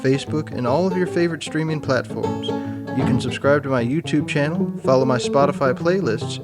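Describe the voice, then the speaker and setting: Upbeat male radio host over loud music. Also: medium pitch, brisk. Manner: upbeat, announcer-like delivery. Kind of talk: scripted show sign-off. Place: radio studio.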